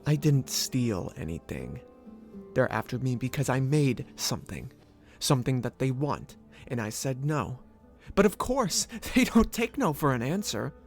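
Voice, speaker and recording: A man's voice: mid-range voice, big pitch swings, a little slow, gravelly, soft background music. A man playing a nervous young inventor and fugitive in a fan audio drama, jittery and defensive.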